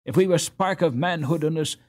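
A man's voice: on the high side, fairly quick, a little mellow, pitched above the performer's own voice, lightly acted. A man voicing a scornful, bullying teenage boy in a storybook, with a blustering, taunting manner.

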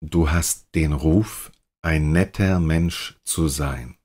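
A man whose first language is German, slowly repeating example sentences as a language teacher. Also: a bass voice, darkish tone.

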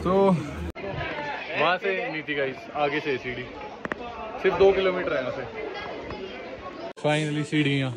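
A man's voice: fairly high pitch, unhurried, pauses often. Young man, casual travel vlog, phone mic, crowded outdoor path, heavy background noise. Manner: chatty, laughing, talks to viewers as guys. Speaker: vlogger. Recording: loud crowd and street noise. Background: crowd and traffic noise.

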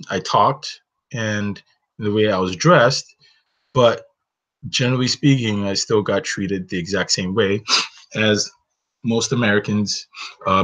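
Earnest low-voiced man, dull webcam audio. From Northern Nigeria. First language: Hausa. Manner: earnest, personal, many "you know" fillers. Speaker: webinar host. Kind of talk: reflective solo webinar talk. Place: bedroom indoors, small. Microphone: webcam, close framing.

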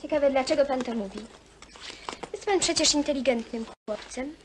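A self-possessed young woman student, played by a film actress, speaking formally and firmly with tart replies. Her voice sits a little high, over faint soundtrack noise. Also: moderate pace, close to an everyday voice. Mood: defiant. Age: young adult. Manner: formal, firm, tart retorts. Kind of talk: naturalistic film dialogue.

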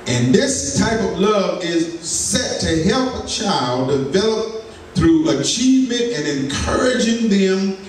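Slow-preaching man minister. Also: high voice, very animated. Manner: slow, folksy preaching with laughter and asides to the congregation. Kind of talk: sermon. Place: church sanctuary. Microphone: pulpit mic, filmed by a far camera. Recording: echoey church from far off, noisy.

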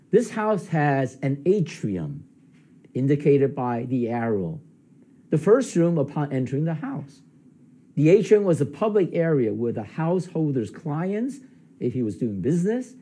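An older man delivers a sermon from a plain indoor studio, his voice very dark and mellow with a husky edge. He explains in a measured, teaching manner, his pitch rising and falling with lively emphasis. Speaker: pastor.

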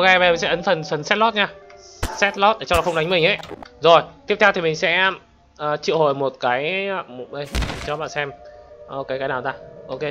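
A man giving excitable gameplay commentary as a channel host, often exclaiming and addressing his viewers. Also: fairly high pitch.

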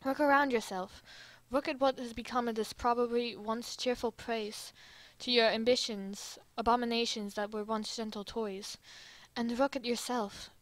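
Earnest, formal young anime gentleman, played by a woman, high register, very dry close recording. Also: steady tone, normal speed, few pauses, no room sound, natural voice, rough recording. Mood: earnest pleading. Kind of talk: amateur fan dub roleplay.

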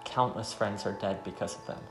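A man's voice: lowish voice, fairly even intonation, brisk, natural voice. A man voicing a grieving, determined woman archer in a visual novel. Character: grieving, determined young woman archer from war-torn homeland. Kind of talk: visual novel dialogue read aloud. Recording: roomy sound, faint music.

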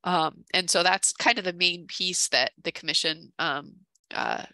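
Low, bright-voiced woman explaining haltingly. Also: no room sound. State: voice starting to give out. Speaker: town conservation staffer presenting a case. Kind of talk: informal meeting presentation. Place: indoor room, on video call. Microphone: headset on video call.

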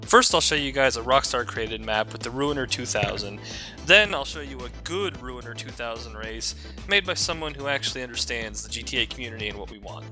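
A man's voice: mid-range voice, expressive, fairly quick. A man hosting a gaming channel, ranting and chatting with friends while racing, sarcastic and profane. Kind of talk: rant to camera then casual gaming chat. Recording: game music behind the voice.

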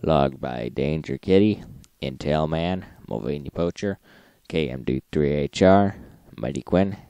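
Male host for informal log recap: mellow, dry-recorded, matter-of-fact delivery. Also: very deep voice, measured pace, gravelly, clear, resonant tone, no echo, highs cut off.

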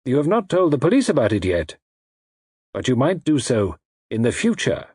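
A man's voice: mid-range voice, huge pitch swings, normal speed, darkish tone, breathy edge, dry recording, clean studio sound, pitched above the performer's own voice. A man voicing an unseen villain in an audiobook, coldly menacing yet formally polite, precise and mock-regretful. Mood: suave menace.

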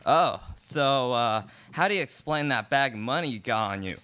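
Man acting as Western outlaw, male, medium pitch, sharp tone, smug, taunting, menacing, radio play. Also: lively intonation, moderate pace, smooth. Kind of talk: student live radio play read from script.

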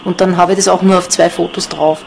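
A woman's voice: fairly low pitch, little pitch movement, fast talker, creaky, noisy background. A woman with an Austrian accent explaining things spontaneously in a documentary interview. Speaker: ghost hunter.

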